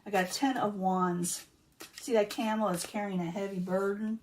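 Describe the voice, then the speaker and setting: A woman reading tarot cards in a casual, chatty way, losing her voice and clearing her throat now and then. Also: mid-range voice, steady tone, normal speed, distant mic, slight background noise, rough recording. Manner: chatty, repeats herself, many um fillers. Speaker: tarot reader.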